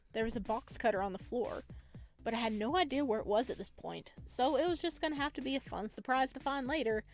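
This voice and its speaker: A woman with a fairly high voice hosts a visual novel playthrough. She reads and comments in an expressive, chatty manner, with music playing behind her. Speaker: gaming video host. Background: background music.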